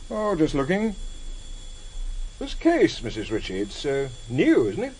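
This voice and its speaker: An actor in a vintage radio drama playing a cheeky, probing British intelligence agent, dry and teasing, with a British accent. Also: male voice, on the high side, huge pitch swings, a little slow, darkish tone. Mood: probing, insinuating. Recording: slightly noisy vintage radio recording.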